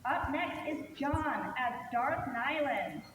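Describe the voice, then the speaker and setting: Lady announcer at a costume contest, high voice, super echoey hall, really noisy. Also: lively intonation, takes it slowly. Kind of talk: event announcing. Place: large event room, played from a video clip. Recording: echoey hall from far off, loud noise.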